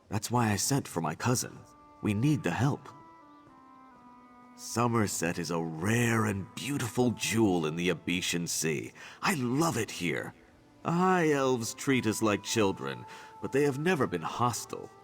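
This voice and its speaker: An actor playing a worried, earnest immigrant merchant in a fantasy video game. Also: male voice, fairly low pitch, full character voice. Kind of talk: video game character dialogue.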